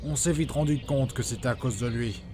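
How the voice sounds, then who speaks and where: A man's voice: mid-range voice, fairly quick, close to an everyday voice. Man playing a proud mentor sage over noisy ambience. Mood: solemn. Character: powerful male mentor sage, proud, amused, authoritative. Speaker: actor in amateur audio drama. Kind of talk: acted audio fiction dialogue.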